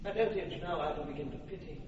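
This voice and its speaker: A man's voice: on the high side, quick pace, lo-fi sound, background noise, close to an everyday voice. An actor playing a proud, commanding fairy king, speaking in regal, declamatory verse in a classic radio drama. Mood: tender. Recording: roomy distant old radio recording.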